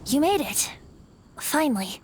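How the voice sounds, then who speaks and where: A woman's voice: sits high, big pitch swings, a little slow, a little mellow. A woman voicing a whimsical boyish wind-spirit bard, cheerful and wistful, with a lilting, poetic, playful delivery in an anime game. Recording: background music under the voice.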